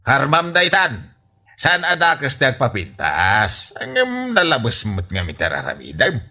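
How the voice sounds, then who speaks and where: A man's voice: mid-range voice, wildly swooping pitch, a little slow, bright, forceful tone, heavily acted. A man playing an exasperated, scolding older father in an Ilocano radio comedy drama, with an Ilocano accent. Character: exasperated, scolding father of vain grown daughter.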